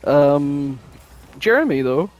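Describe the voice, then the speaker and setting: A man's voice: fairly high pitch, sing-song, takes it slowly, a crowd in the background. A man chatting casually as a podcast co-host, blunt, opinionated and free with swearing. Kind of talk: casual chat, reminiscing.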